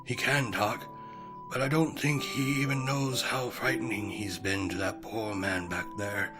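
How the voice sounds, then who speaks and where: A man's voice: on the low side, steady tone, a little slow, lightly acted. Avuncular Merlin acted by a man, theatrical, music behind. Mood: wry, genial. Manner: formal, theatrical, reassuring. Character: kindly, avuncular old-fashioned male wizard mentor. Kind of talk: storybook audio drama acting.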